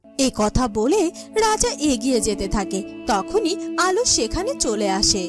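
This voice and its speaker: A woman's voice: high voice, sing-song, measured pace. A woman narrating a cartoon fairy tale in a scripted storytelling style. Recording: music behind the voice.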